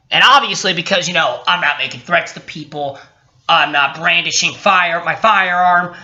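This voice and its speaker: A man's voice: sits high, sharp tone. A man giving a casual, rant-like commentary as a vlogger, swearing often and sounding indignant and sarcastic. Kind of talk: casual rant-like commentary while reading a report. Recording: dull lo-fi sound.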